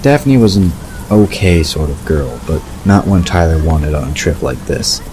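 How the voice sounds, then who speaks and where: A man narrating a scripted story in a measured storytelling delivery. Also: rumbling low, animated. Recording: clear background ambience noise.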